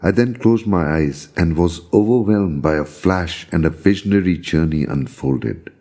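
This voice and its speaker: Man, storytelling narration, very deep, dark timbre, husky, measured, solemn. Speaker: storyteller reading own account. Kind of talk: scripted narration of a visionary journey.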